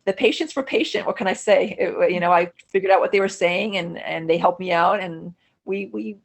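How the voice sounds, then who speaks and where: A woman's voice: lowish voice, fairly quick, gravelly. A middle-aged woman, a native Russian speaker, talking casually as a podcast guest, thoughtful and often restarting her sentences. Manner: thoughtful, self-correcting, frequent restarts and fillers. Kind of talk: casual conversational interview.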